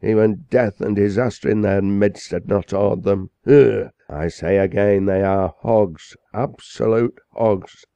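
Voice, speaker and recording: A man voicing an excitable, indignant parson who denounces with vehemence. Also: fairly low pitch, measured pace, hardly any pauses, clean tone, no room sound. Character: excitable indignant male parson, blunt and fiery.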